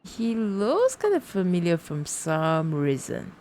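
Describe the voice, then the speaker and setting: A woman's voice: lowish voice, wildly swooping pitch, slow, a little mellow, a little hiss or hum. A woman livestreamer casually commenting on a game and reading its dialogue aloud. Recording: faint game music behind the voice.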